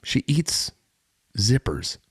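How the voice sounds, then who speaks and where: A man's voice: medium pitch, sing-song, drawn-out words, breathy edge. A man co-hosting a podcast in casual comic chat, with a playful, joking manner. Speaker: podcast co-host.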